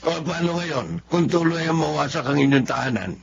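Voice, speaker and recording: A man's voice: a little high, moderate pace, vocal fry. An actor playing a worried, reproachful elderly Filipino father in a radio drama, admonishing his grown son. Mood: stern reproach. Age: older. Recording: dull lo-fi radio recording, faint noise.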